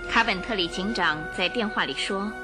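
A woman's voice: mid-range voice, moderate pace, over music. A woman narrating a radio drama in a scripted storytelling style.

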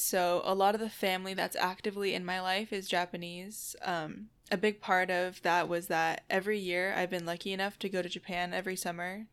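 A woman's voice: on the low side, a bit bright, smooth. A young woman from the United States co-hosting a casual podcast, talking in a friendly, reflective way in full sentences. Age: young adult. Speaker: podcast co-host.

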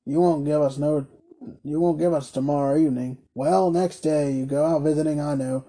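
Man reading as smug, husky older merchant. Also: fairly high pitch, steady tone, normal speed, mellow. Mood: mock-polite, condescending. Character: smug, sneering, domineering older male toy merchant. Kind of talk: storybook character reading.

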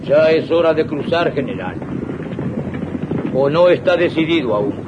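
Old noisy radio play, the general's loyal sidekick, kinda high voice, respectful, muffled sound. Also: male voice, expressive, unhurried. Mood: earnest, respectful. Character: loyal companion of an exiled general, urging him on. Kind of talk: 1980s radio drama acting.

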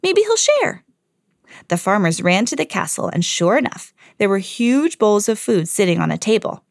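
Animated woman reading a children's story. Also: mid-range voice, moderate pace, vocal fry, no echo, clean studio sound. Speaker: podcast host and storyteller.

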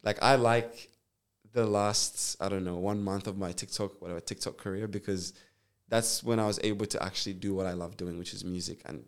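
A young man from Zambia, a nurse and musician, chatting in a relaxed, rambling way as an interview guest, with lots of fillers and restarts. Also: low-pitched, a little fast, clean tone. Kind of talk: casual interview chat.

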